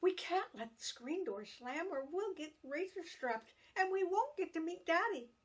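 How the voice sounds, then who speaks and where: A woman voicing an earnest young girl who is a protective older sister, gently warning her little sister. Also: extremely high pitch, lively intonation, unhurried, far from the mic, voice pushed up. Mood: admonishing.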